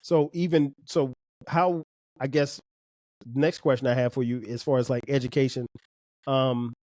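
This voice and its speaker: A man hosts a podcast interview and asks long, loose questions in a friendly back-and-forth. His pitch sits in an ordinary middle range. The sound is dry and close, with no room echo. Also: steady tone, moderate pace, warm tone. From Florence, South Carolina. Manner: easygoing, laughs often, rambling questions. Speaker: podcast host. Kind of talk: casual interview conversation.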